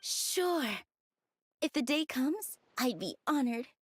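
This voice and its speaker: A woman voicing a modest, gentle desert mercenary in a video game, earnest and self-effacing. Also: high-pitched, expressive, slow pace, dry recording. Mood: sincere. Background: background music.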